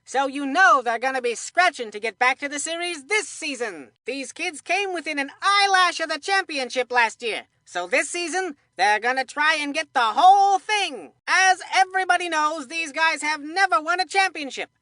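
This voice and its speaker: High, bright, folksy cartoon baseball commentator. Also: female voice, expressive, moderate pace, hardly any pauses, dry recording, clean studio sound. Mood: upbeat, folksy. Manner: folksy, colloquial, exclamatory. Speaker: sports commentator in a children's baseball video game.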